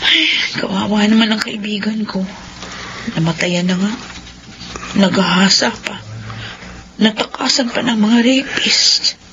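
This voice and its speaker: A woman's voice: medium pitch, unhurried, long pauses, lightly acted. A woman playing a polite, sympathetic young friend in a radio drama. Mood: sympathetic, anxious. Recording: dull radio audio, faint noise.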